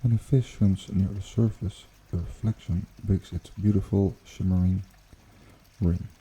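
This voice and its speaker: Very dark, husky low-voiced man, hushed meditation. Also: steady tone, poor audio. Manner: hushed, unhurried, with gentle pauses. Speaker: ASMR guided meditation host. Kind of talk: ASMR guided meditation, slow soothing narration. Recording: water trickling behind the voice. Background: pond water bubbling and trickling.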